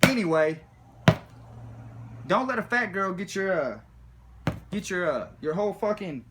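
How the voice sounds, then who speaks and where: A man's voice: sits high, unhurried. Man telling crude stories over background noise. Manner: rambling, slangy, animated retelling. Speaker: storyteller. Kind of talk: comic casual storytelling, profane. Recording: background noise, room ambience.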